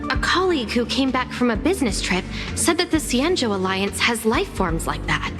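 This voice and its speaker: An excitable female researcher from a sci-fi video game. Her high voice swings widely as she hesitates and thinks aloud. Music plays clearly behind her. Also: normal speed. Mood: pensive.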